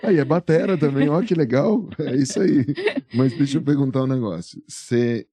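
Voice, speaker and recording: Male podcast host, playful teaser, mellow tone. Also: mid-range voice, moderate pace, dry recording. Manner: joking, teasing interviewer. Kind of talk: casual interview chat.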